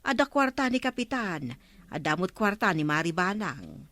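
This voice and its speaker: A bright, frank village scold. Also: female voice, fairly low pitch, big pitch swings, normal speed, dry recording. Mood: chiding. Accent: Ilocano. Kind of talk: Ilocano radio comedy drama acting.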